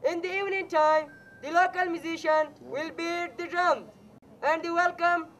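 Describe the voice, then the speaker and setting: A student playing a loud village town crier. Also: female voice, extremely high pitch, fairly even intonation, a little hiss or hum. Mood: proclaiming. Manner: slow, loud proclaiming. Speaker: student actor.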